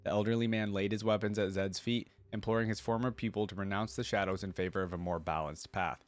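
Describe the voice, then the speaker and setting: A man hosting a reaction video, mixing casual, think-out-loud commentary with reading aloud. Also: fairly low pitch, steady tone, fast talker.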